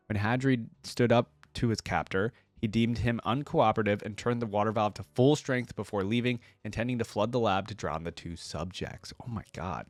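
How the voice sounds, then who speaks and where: A man's voice: mid-range voice, dry recording. A man hosting a reaction video, reading game lore aloud and commenting on it in an informal, slangy way. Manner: informal, slangy asides between read passages.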